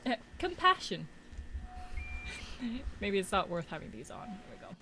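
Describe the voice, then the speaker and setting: A woman with a mid-pitched voice reacts casually on a livestream. She is informal and wry, joking along, with clear noise from the horror video behind her. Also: very animated, normal speed. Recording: noisy, film audio behind voice. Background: sound of the horror video being watched.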